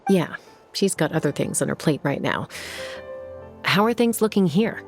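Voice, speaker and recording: An actress voicing a calm, confident young woman rider in a video game, with a steady, encouraging manner. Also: low voice, normal speed. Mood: casual. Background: game background music.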